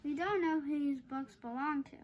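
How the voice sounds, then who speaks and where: A woman's voice: high voice, normal speed, a little hiss or hum, lightly acted, low-quality recording. A woman voicing a cautious, curious young boy from a storybook, in an earnest way.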